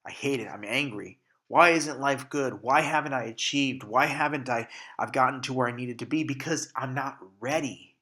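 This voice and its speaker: A man's voice: mid-range voice, a bit bright. Congested man, candid and profane. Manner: candid and profane, with frequent restarts and fillers. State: congested and sniffling from allergies. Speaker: podcast host. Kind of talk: casual solo podcast monologue.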